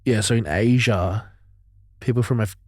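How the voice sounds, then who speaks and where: A man's voice: on the low side, moderate pace. A man co-hosting a podcast, bantering bluntly and irreverently with plenty of swearing. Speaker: podcast co-host. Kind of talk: casual banter, heavy swearing. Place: vintage caravan turned studio.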